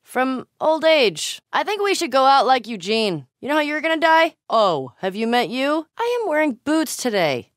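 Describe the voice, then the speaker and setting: A woman's voice: sits high, big pitch swings, moderate pace, few pauses, no echo, studio quality. An actress playing a tough, foul-mouthed, wisecracking young woman survivor in a video game, blunt and naturalistic. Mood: playful. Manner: blunt, sweary, wry.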